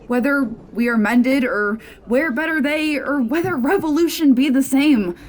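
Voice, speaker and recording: A woman's voice: high-pitched, measured pace, background noise. A young woman performing a Shakespeare sonnet as a dramatic monologue. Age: young adult.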